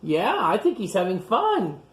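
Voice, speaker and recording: A woman doing a storytime for young children, reading and explaining in simple, repetitive words with gentle questions to her listeners. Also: medium pitch, huge pitch swings, a little slow, a little hiss or hum. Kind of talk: picture-book read-aloud and simple chat to young children.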